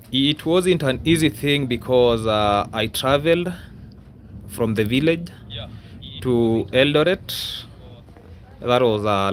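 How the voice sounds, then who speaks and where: A man's voice: medium pitch, a little hiss or hum. Kenyan man chatting on a livestream. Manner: rambling, repetitive, often adds a questioning "yeah?". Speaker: YouTuber, livestream host. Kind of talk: casual livestream chat to viewers.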